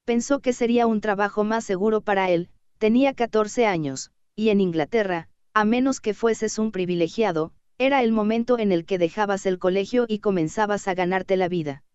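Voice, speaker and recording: A woman narrating an audiobook in a steady, even reading voice. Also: mid-range voice, moderate pace, hardly any pauses, smooth, no room sound, lo-fi sound.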